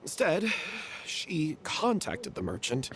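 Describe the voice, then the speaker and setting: A man playing a defensive, guilt-ridden theatre performer in a fantasy game, with halting, dramatic delivery. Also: a little high, huge pitch swings, fairly bright tone, exaggerated voice. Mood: regretful. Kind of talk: anime fantasy game voice acting.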